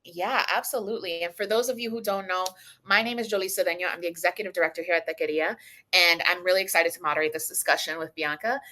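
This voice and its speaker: Bright, upbeat woman moderating a panel. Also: lowish voice, fast. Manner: upbeat, enthusiastic and playful. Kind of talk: hosting a panel discussion.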